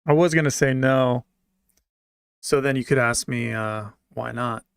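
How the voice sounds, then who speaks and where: A man livestreaming, reading out his chat and answering it in a joking, deadpan way. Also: medium pitch, measured pace, gravelly, smooth, no room sound, clean studio sound.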